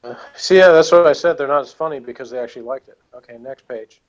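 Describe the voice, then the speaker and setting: A man's voice: mid-range voice, quick pace, highs cut off. A man chatting casually as co-host of a review show, hesitant and grumbling as he gives critical opinions. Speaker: podcast co-host reviewing television.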